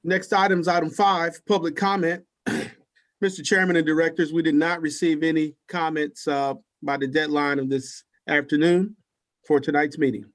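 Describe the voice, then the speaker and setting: A man with a fairly high voice works as the clerk of a public meeting. He reads out names and agenda business in a formal, procedural style over an online call. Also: level tone, moderate pace. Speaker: meeting clerk calling roll and reading agenda items.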